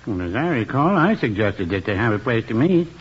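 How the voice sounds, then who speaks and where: A man's voice: mid-range voice, very animated, fairly quick, slightly rough. An actor in a 1950s radio comedy playing a mild, fussy older man with a droll, quibbling way of talking. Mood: dry, quibbling. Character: dry, mild, fussy older male small-town friend. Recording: muffled 1950s radio recording with hiss.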